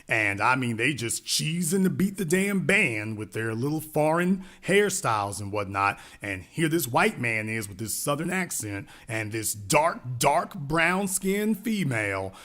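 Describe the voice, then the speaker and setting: A man's voice: medium pitch, very animated, a little slow, hardly any pauses, pushed and bright. A man hosting a live call-in radio show, talking in a blunt, emphatic, slangy way.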